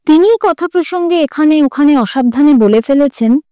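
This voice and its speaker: High-voiced, expressive woman narrating a story. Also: normal speed, no echo, studio quality. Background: music.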